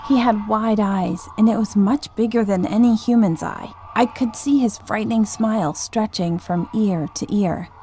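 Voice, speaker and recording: A woman's voice: mid-range voice, normal speed, few pauses, warm tone, a little hiss or hum. A woman reading a scripted first-person ghost story as a narrator.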